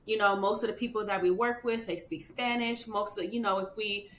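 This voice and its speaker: A woman's voice: steady tone, brisk, a bit bright. A woman talking casually as a podcast guest, chatty, with many fillers and "you know what I mean" asides. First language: English. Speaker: podcast guest, children's book author. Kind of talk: casual interview chat. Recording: echoey room with faint rumble.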